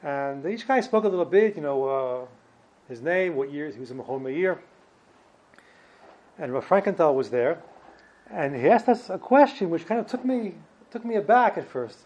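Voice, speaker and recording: A man in his fifties with a New York accent, giving an informal personal talk as a guest speaker in a halting, slightly uneasy way. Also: a little high, expressive, darkish tone, rough recording. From New Jersey and Brooklyn, New York, United States. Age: 50s. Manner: hesitant, halting, slightly ill at ease. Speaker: guest speaker, former yeshiva student.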